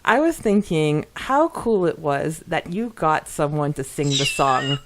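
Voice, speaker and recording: A woman's voice: low voice, expressive, a little slow. A woman co-hosting a podcast, giggly, reading out listener comments in a casual chatty style. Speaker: podcast co-host.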